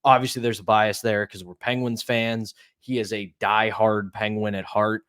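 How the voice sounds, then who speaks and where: A man's voice: lowish voice, little pitch movement, moderate pace, a bit bright, clean tone, dry recording, studio quality. A man in his thirties co-hosting a sports podcast, giving casual, opinionated commentary in a conversational way. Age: 30s. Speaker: podcast co-host.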